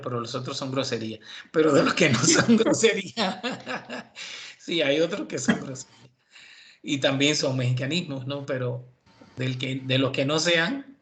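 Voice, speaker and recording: A man from Cuba teaching an online university class, relaxed and chatty with many fillers. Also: mid-range voice, a little hiss or hum. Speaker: university professor.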